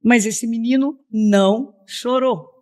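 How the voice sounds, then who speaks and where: A woman's voice: a little high, slow, warm tone. A middle-aged woman, telling her story as an interview guest and often checking in with "né?". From Piracicaba, São Paulo state, Brazil. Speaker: missionary, podcast guest. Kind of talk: personal testimony in a conversational interview.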